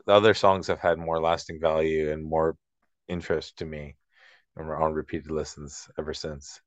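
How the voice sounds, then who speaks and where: A man's voice: rumbling low, little pitch movement, normal speed, dry recording. A man co-hosting a casual music discussion, searching loosely for his words, on a dry, dull recording. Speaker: music discussion podcast co-host. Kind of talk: casual album critique.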